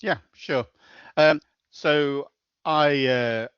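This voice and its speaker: A man's voice: a little high, very slow, fairly bright tone, clean tone, no echo, lo-fi sound. A middle-aged man with a Liverpool accent, a podcast guest talking in a level, matter-of-fact way with many hesitations. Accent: Liverpool (Scouse). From Liverpool, England. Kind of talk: casual interview chat.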